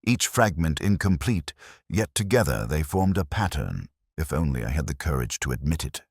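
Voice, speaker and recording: Very deep-voiced man narrating a solemn mystery. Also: sing-song, slightly rough, no room sound, studio quality. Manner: solemn, measured, reflective. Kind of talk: scripted literary first-person mystery narration.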